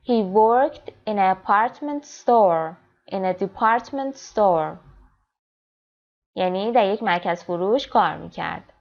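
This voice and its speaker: Female grammar teacher, brisk explanations, slow careful example reading, slightly muffled lo-fi audio. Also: medium pitch. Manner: explains quickly in Persian, then reads English examples slowly and clearly. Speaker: English teacher. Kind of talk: grammar lesson.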